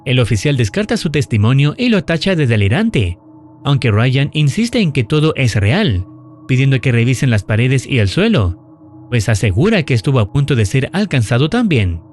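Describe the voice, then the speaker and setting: Lively-pitched man narrating horror recaps. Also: normal speed, slight background noise.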